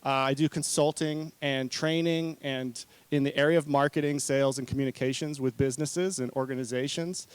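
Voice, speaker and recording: A man in his forties from New Zealand and Hawaii, speaking informally as a conference presenter in an earnest, conversational way, often halting and correcting himself. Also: mid-range voice, fairly even intonation, clear, resonant tone, no room sound. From New Zealand-born, raised in Hawaii. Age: 40s. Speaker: conference speaker, marketing consultant.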